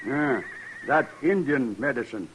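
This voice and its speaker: Very slow, stoic old chief. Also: male voice, medium pitch, lively intonation, a bit bright. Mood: stoic, grave. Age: older. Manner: terse, stilted broken English, unhurried. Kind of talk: old time radio western acting. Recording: noisy old radio drama recording.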